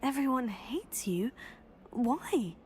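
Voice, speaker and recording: A woman playing a polite, helpful teenage witch student in a video game, with a British accent and a measured, curious manner. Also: on the high side, animated, a little mellow, slight background noise. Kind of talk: video game character dialogue.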